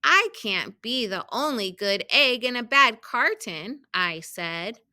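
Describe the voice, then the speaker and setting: A woman voicing an earnest, helpful, overburdened egg from a children's storybook, reading expressively and deliberately with emphatic exclamations. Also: fairly high pitch, lively intonation, bright, forceful tone, clean tone, exaggerated voice. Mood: weary, exasperated. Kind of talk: children's picture book storytelling.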